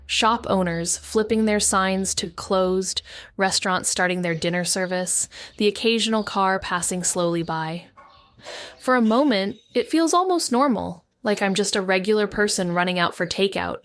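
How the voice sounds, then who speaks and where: A woman's voice: medium pitch, normal speed, a bit bright, no room sound, clean studio sound, close to an everyday voice. A woman playing a wry, self-mocking young sleuth back in her hometown, narrating in the first person and stumbling a little in conversation. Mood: reflective. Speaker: narrator and lead character. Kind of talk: first-person noir audio drama narration.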